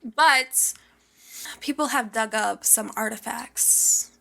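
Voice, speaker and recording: A young woman with a high, bright, forward voice chats as a podcast co-host. She gossips casually in an animated, slangy way, with the odd swear word. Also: slow, creaky.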